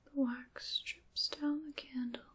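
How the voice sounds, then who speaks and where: Breathy, slow-whispering dark witch, played by a woman. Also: sits high, level tone, full character voice. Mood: hushed, savoring. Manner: slow, deliberate, hushed, theatrical. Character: sinister, seductive dark witch, fond of chaos. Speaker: ASMR performer. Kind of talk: ASMR whispering and soft-spoken roleplay. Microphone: close microphone.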